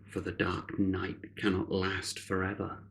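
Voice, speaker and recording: Very slow, low-voiced man guiding meditation. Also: monotone, gravelly, slight background noise. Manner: measured, with long deliberate pauses between short phrases. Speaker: meditation guide. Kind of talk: guided meditation, spiritual narration.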